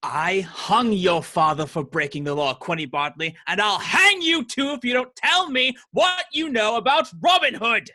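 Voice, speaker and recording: Nasty threatening lord of the law, high sharp forceful voice, radio play. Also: male voice, moderate pace, hardly any pauses. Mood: furious threatening. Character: menacing, threatening lawman lord hunting an outlaw.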